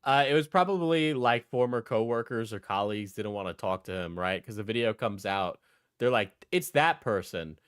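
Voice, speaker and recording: A man's voice: fairly low pitch, brisk, clean tone, no room sound, studio quality. A man giving casual, opinionated commentary in a blunt, mocking way, swearing freely and often checking his points with "right?". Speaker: commentator reacting to an interview.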